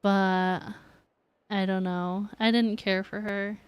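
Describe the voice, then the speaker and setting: A woman's voice: mid-range voice, level tone, takes it slowly, clean tone, no room sound, lo-fi sound. A woman chatting casually to viewers as she streams a game. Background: game music.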